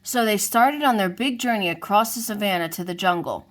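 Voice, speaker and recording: A woman reads a children's storybook aloud, lively and expressive as she narrates. Her voice sits at a middle pitch, neither high nor low. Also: fairly quick. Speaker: storybook reader.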